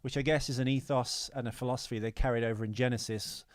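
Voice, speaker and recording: A man's voice: mid-range voice, steady tone, quick pace, no room sound, clean studio sound. A man co-hosting a podcast with casual, rambling film-review banter and a wry manner. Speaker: podcast co-host.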